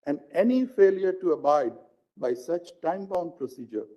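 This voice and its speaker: Very mellow Indian male judge reading formally. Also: high voice, animated, normal speed. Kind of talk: formal reading of a legal judgment. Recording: dull lo-fi live stream audio.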